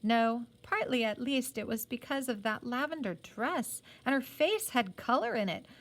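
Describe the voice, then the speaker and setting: A woman's voice: a little high, lively intonation, normal speed, a bit bright. A woman reading a story aloud in a careful, teacherly way, with occasional explanatory asides. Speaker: reader of a children's novel aloud.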